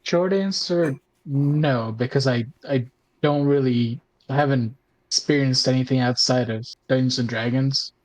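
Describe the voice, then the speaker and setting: A man with a mid-pitched voice talks casually, as a podcast co-host among friends. His delivery is informal and halting, padded with "like" and the odd mild swear word. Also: poor audio. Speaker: podcast co-host and tabletop player. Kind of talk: casual roundtable chat.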